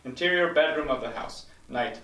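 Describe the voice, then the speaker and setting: A man's voice: mid-range voice, moderate pace, fairly bright tone. A man reading stage directions as scripted narration at a table read. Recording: roomy, distant mic.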